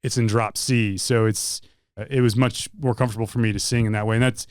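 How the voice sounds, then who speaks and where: A man's voice: lowish voice, steady tone, a little fast, smooth, dry recording, studio quality. A man in a band, talking casually in an interview and giving long, rambling explanations full of restarts. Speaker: band member.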